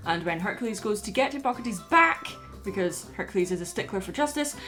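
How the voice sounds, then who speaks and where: A woman's voice: mid-range voice, expressive, fairly quick, pushed and bright, soft background music. A woman hosting and narrating a scripted video essay.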